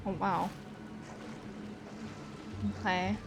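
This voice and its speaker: A woman's voice: lowish voice, little pitch movement, very slow, creaky. A woman livestreaming a video game, giving casual, murmured reactions in short fragments. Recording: game music behind the voice. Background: video game music.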